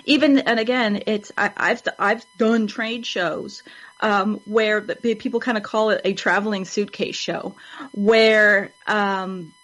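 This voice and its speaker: A woman's voice: medium pitch, steady tone, unhurried, fairly bright tone, rough recording. A woman co-hosting a fan web show in casual chat, with a rambling, informal, upbeat manner.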